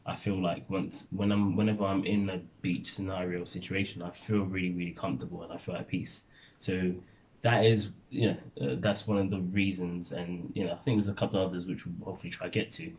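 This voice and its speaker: A young man from Nigeria telling stories in relaxed casual chat, laughing often as a vlogger. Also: sits low, a little fast, rough recording.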